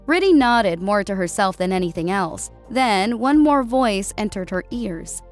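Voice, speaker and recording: A woman delivers scripted story narration in a mid-pitched voice. She reads prose as an audiobook narrator. Music plays softly behind her. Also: animated, measured pace. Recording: music bed under voice. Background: background music.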